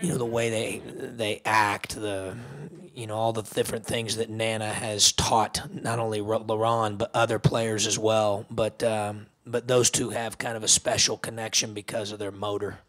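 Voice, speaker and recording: A man giving a spontaneous, halting answer in a sports interview. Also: fairly low pitch, little pitch movement, fairly bright tone.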